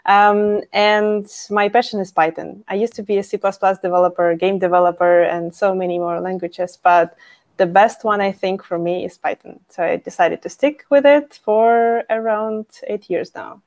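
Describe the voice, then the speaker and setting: A woman's voice: mid-range voice, steady tone, moderate pace, a little mellow. Young ukrainian-born woman, guest on a dev podcast, friendly, video call from home. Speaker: podcast guest, Python developer. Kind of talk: casual interview chat. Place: home room, indoors.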